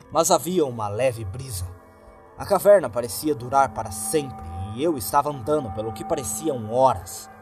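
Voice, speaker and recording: Brazilian man narrating horror over prominent music. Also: mid-range voice. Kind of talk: dramatic creepypasta narration, first person. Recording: music behind the voice. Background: background music.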